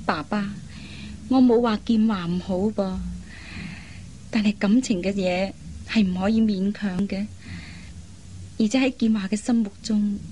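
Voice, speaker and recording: An actress playing a loyal young adult daughter in a Cantonese radio drama, speaking earnestly and pleadingly in carefully articulated speech. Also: female voice, medium pitch, fairly even intonation, measured pace, pauses often, mellow, a little hiss or hum, lightly acted. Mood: resolute, heartfelt.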